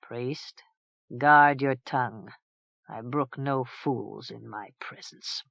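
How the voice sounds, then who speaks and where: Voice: medium pitch, moderate pace, pushed and bright, no echo, muffled recording, voice pushed up, lightly acted. An audiobook narrator voicing a harsh, menacing middle-aged king who speaks in cold, curt threats.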